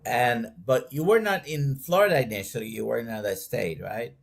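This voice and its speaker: A man's voice: mid-range voice, brisk, vocal fry. A man from Puerto Rico hosts the interview over a video call. He asks his questions in an easy, conversational tone. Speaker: host doctor.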